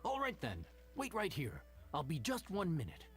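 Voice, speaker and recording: A man's voice: on the high side, wildly swooping pitch, normal speed. A man playing an easygoing, proud chef in a video game, talking in a colloquial, confident way. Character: easygoing, proud male chef who looks after his people. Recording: music under the voice.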